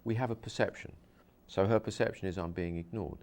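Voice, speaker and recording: Man, very deep voice, hypnosis practitioner, interviewer, earnest, repetitive explaining. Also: steady tone, a little fast, darkish tone.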